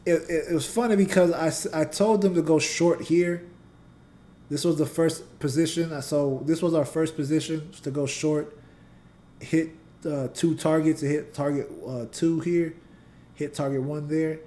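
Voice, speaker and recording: Mellow-voiced man explaining charts casually. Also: fairly high pitch, fairly even intonation, moderate pace. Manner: loose and conversational, talks to chat. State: voice getting scratchy. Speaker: trading channel host and teacher. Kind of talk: casual live chart analysis.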